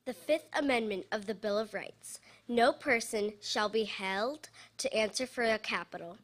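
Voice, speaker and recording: A woman's voice: fairly high pitch, normal speed, fairly bright tone. A woman reading a formal legal text aloud at a public event. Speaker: reader.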